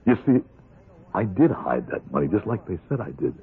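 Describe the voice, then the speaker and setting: An actor playing a bitter, desperate middle-aged ex-convict crook in an old radio drama, with a husky voice. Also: male voice, on the low side, sing-song, moderate pace, full character voice. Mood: urgent, haunted. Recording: muffled, narrow-band old radio recording.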